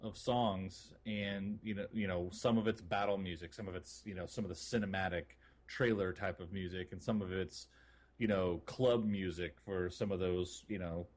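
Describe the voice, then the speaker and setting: Male podcast co-host, low voice, informal and enthusiastic, loose conversational gaming chat. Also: fairly even intonation, moderate pace, a little mellow.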